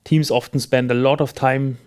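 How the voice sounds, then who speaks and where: Halting, agreeable man on a podcast. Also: mid-range voice, flat delivery, darkish tone, smooth. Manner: agreeable, halting, searching for words. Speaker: podcast host. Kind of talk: conversational business podcast discussion.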